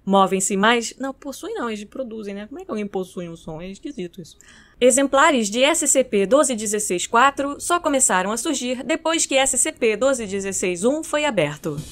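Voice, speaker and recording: A Brazilian woman with a high voice records scripted narration. She alternates formal reading with casual muttered asides. Her manner is self-mocking. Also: expressive, normal speed. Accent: Brazilian Portuguese. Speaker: narrator of horror fiction records.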